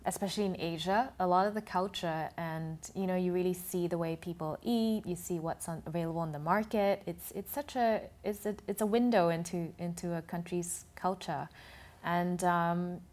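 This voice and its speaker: Low-voiced woman, thoughtful blogger guest. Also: steady tone, darkish tone. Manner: thoughtful, explanatory, speaks in halting restarts. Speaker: food and travel blogger, guest. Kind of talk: TV talk show interview. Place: TV studio.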